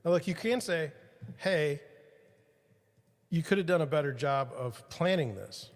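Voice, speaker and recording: A man's voice: on the high side, expressive, measured pace, big-room sound. A podcast host rambling to his livestream viewers while swapping out his microphone mount, joking at his own expense. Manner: self-deprecating, rambling, talks to viewers. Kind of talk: casual self-talk while fixing gear on a livestream. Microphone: studio mic with changing levels.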